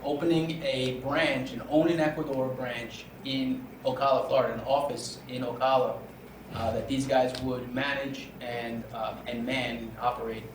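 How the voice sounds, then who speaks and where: A man's voice: medium pitch, flat delivery, normal speed. A middle-aged man from New York with an American accent, hosting a casual podcast interview. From New York, United States. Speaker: host and entrepreneur. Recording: echoey room, clear background noise, distant mic.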